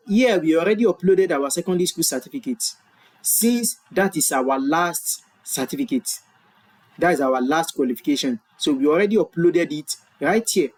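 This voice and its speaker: A man's voice: on the high side, rough recording. Nigerian man explaining a form step by step. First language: Yoruba. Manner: explanatory, repeats and self-corrects. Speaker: tutorial presenter. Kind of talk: screen-share walkthrough. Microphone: webcam in corner of screen recording.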